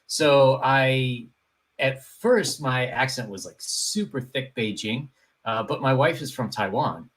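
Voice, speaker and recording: A man's voice: mid-range voice, slow, fairly bright tone. A man hosting an interview, asking questions in a friendly, conversational way. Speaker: podcast host. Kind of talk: casual interview chat.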